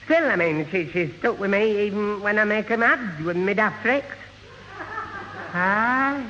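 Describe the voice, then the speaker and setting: A man's voice: sits high, unhurried. A man playing a dim, bumbling, easily flustered young man who stammers and repeats himself, in an old radio comedy. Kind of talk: 1970 radio comedy acting. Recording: noisy, muffled, echoing old radio recording.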